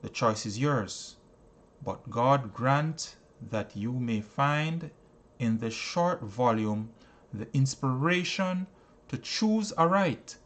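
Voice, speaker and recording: A man reads a self-help book aloud as a scripted narration. His pace is very slow and his pitch sits in the middle range for a male voice. The delivery is solemn and instructive, with each phrase set out deliberately. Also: animated. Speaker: audiobook narrator.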